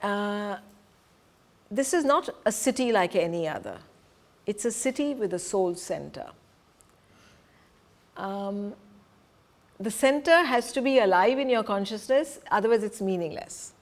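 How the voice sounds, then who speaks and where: A woman's voice: medium pitch, expressive, a little slow, pauses often. Earnest middle-aged woman presenter. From Punjab, India. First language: Hindi. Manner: earnest, emphatic, stresses key words. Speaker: presenter and teacher. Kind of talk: reflective talk to a group.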